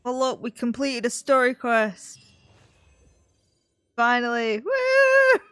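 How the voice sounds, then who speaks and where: Slow-talking, high-voiced woman game streamer. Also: big pitch swings, fairly bright tone, dry recording. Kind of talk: casual live gaming commentary. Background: game music.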